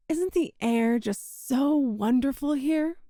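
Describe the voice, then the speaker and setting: A woman's voice: high-pitched, dark tone, no echo, studio quality. A woman playing a sweet, possessive young witch shopkeeper in an ASMR roleplay, with a coy, doting, teasing manner. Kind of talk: ASMR romantic roleplay audio.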